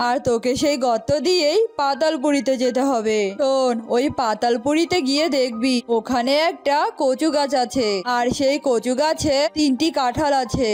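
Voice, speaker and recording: A woman's voice: sits high, a little mellow, close to an everyday voice. A woman voicing a wise, kindly forest mystic in a children's cartoon, soothing and instructive. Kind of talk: Bengali children's cartoon voice acting.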